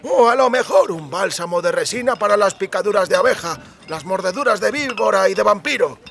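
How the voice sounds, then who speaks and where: A man's voice: high voice, animated, fairly quick, creaky, a bit bright, slight background noise, pitched above the performer's own voice, full character voice. A man voicing an eager, chatty amulet merchant with effusive, wheedling sales patter in an audiobook. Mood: obsequious, eager. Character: eager, chatty male amulet merchant peddling quack remedies. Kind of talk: audiobook character acting.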